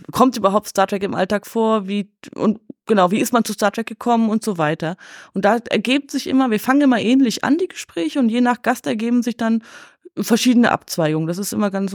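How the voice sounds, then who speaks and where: A woman's voice: mid-range voice, no echo. Chatty female podcast guest, dry sound. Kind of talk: casual chat.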